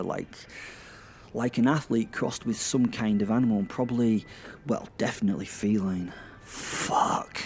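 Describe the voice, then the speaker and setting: Low-voiced British man narrating, mellow tone. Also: a little hiss or hum. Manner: bawdy, hesitant, self-interrupting. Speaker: narrator of a serial audio drama. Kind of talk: first-person dramatic narration.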